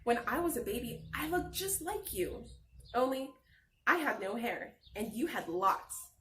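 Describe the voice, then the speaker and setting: A woman acts out a curious young son in a storybook. The voice is high and swings widely in pitch, full of affection and excitement. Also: a little slow, slight background noise. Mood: eager, fond. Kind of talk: picture-book read-aloud.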